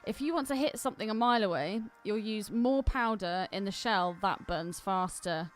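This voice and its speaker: A woman's voice: mid-range voice, animated, moderate pace, soft background music. A woman co-hosting a podcast, chatting casually and reading aloud, giggly and easily sidetracked. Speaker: podcast co-host. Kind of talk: casual chat, reading listener mail aloud.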